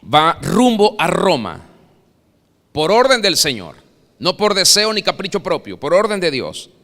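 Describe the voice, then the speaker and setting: Bright-voiced Venezuelan preacher in a hall. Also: male voice, sits high, big pitch swings, measured pace. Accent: Venezuelan Spanish. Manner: dramatic preaching, swells loud then drops soft. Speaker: pastor. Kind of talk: sermon. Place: church sanctuary. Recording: long reverb of a church hall.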